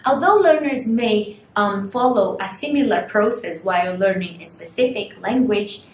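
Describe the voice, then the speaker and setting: A young woman whose first language is Portuguese gives a recorded university lecture, explaining slowly and putting rhetorical questions to students. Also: expressive. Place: small studio room in front of a screen. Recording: echoey room, faint rumble.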